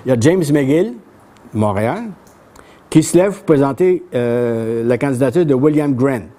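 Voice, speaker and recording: A man's voice: expressive, a little slow, warm tone. An older man with a Québécois accent giving a history lecture to a small audience in a café, reading from a tablet in a plain, conversational way. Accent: Québécois French. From Quebec. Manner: plain conversational telling, reads quoted speeches with lifted pitch. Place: small bookshop café with brick walls, before an audience.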